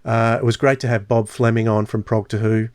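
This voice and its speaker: A man's voice: lowish voice, little pitch movement, a little fast, a little mellow, clear, resonant tone, no echo, clean studio sound. A man hosting a podcast, chatting casually and telling stories with many fillers. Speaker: podcast host.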